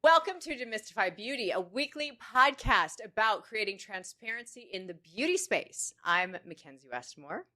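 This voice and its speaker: A woman's voice: mid-range voice, lively intonation, moderate pace, few pauses, sharp tone. A woman co-hosting a podcast in casual chat, giggly and effusive. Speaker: podcast co-host.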